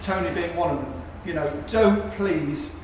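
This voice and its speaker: Male panel guest in his fifties, light high voice, rambling and jokey, recorded far off in a reverberant room. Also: unhurried, darkish tone. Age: 50s. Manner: chatty, self-mocking, stumbles over words. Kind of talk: casual panel discussion. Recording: echoey room, distant mic, noisy.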